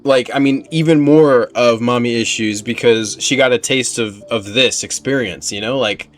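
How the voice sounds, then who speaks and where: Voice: mid-range voice, normal speed. A video game streamer reacting in casual, slangy, conversational commentary.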